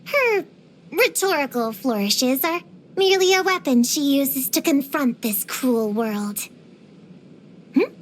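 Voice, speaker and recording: A woman's voice: high voice, fairly bright tone, a little hiss or hum, full character voice. A woman voicing a precocious, feisty little girl in a video game, earnest and theatrical with grown-up wording. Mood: solemn, confiding, then excited, emphatic. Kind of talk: scripted video game character voice lines.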